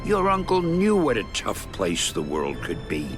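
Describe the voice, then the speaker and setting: A man's voice: fairly low pitch, huge pitch swings, measured pace. An actor playing a folksy, encouraging older male mentor in a video game, speaking in an avuncular way. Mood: heartfelt encouragement. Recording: music behind the voice.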